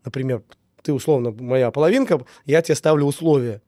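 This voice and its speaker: A man's voice: mid-range voice, fairly quick, mellow, dry recording, studio quality. A man getting over a cold, talking earnestly in a casual interview and repeating key words with many fillers. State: recovering from a cold. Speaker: business owner, guest.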